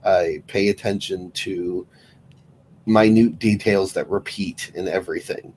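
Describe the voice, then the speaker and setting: A man with a fairly low voice talks as a podcast co-host. He gives opinions in a casual discussion, with faint noise in the recording. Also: fairly even intonation, unhurried, a little mellow. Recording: clear background noise.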